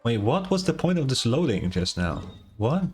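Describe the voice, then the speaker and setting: A man's voice: medium pitch, big pitch swings, normal speed, slightly rough, faint music behind. A young man giving casual, slangy gaming commentary, often incredulous and swearing. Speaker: streamer reacting to a game.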